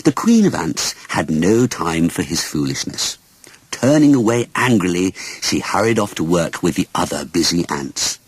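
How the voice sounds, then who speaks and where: A man reads a children's storybook aloud. His intonation is extremely lively, rising and dipping expressively, and his voice has a husky edge. The sound is dry, with little room around it. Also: low-pitched, moderate pace, dry recording. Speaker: audiobook narrator.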